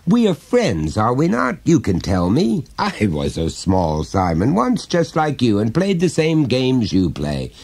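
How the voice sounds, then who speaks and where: A man's voice: medium pitch, wildly swooping pitch, measured pace, vocal fry, hardly any pauses, darkish tone, breathy edge, voice pushed up, heavily acted. A man reading an audiobook, voicing a pompous middle-aged father who lectures his son in a condescending way. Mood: coaxing. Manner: condescending, explaining at length.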